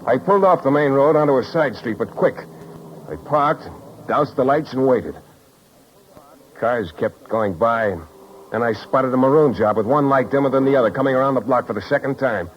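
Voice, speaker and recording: An actor playing a wry, tough private detective in clipped 1950s radio style. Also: male voice, medium pitch, normal speed, a little hiss or hum, lightly acted. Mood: wary, intent. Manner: wry, hard-boiled, clipped. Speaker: lead actor and narrator. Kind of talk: 1950s radio detective acting, first-person narration. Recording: dull 1950s radio recording, music behind.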